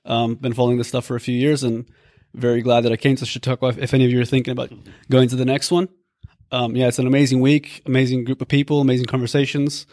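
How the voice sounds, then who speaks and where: A man's voice: mid-range voice, flat delivery, fast, darkish tone, no room sound, clean studio sound. A man from Brazil, friendly and reflective as a participant in a group chat. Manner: friendly, reflective, many ums. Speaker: discussion participant.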